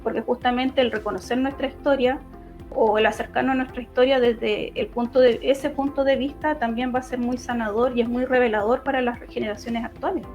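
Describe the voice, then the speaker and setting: Halting Chilean woman, higher-pitched, dull audio. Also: fairly even intonation, darkish tone. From Los Ríos region, southern Chile. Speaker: political candidate and law lecturer. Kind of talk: spoken interview answers in an online debate. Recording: dull lo-fi online audio, music behind.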